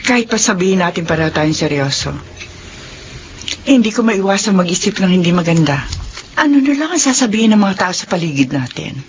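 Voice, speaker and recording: A woman playing a worried middle-aged school principal anxious about her romance, expressive, in a radio drama. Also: mid-range voice, a little fast, natural voice. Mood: worried, doubtful. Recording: dull lo-fi audio, faint noise.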